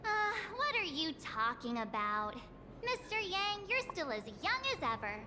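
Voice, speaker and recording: A woman's voice: very high voice, big pitch swings, a little slow, background noise, heavily acted. A woman voicing a cheerful, teasing young girl companion in a video game. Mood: playful. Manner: chirpy and teasing. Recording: game audio with long reverb.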